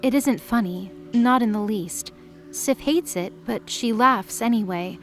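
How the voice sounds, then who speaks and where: A woman's voice: on the high side, a little slow, soft background music. A woman narrator reading scripted dramatic story narration in an audio drama.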